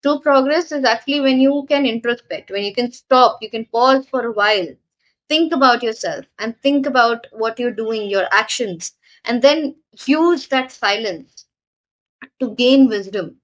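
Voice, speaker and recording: A woman's voice: fairly high pitch, measured pace, highs cut off. A woman teacher explaining a poem to exam students, patiently repeating points with frequent fillers. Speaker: English teacher.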